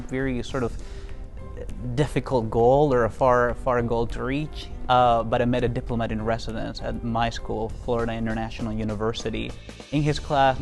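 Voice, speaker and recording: A man's voice: mid-range voice, creaky. A young man from Venezuela, speaking earnestly in an interview testimonial about his work as a Foreign Service officer, with frequent fillers and restarts.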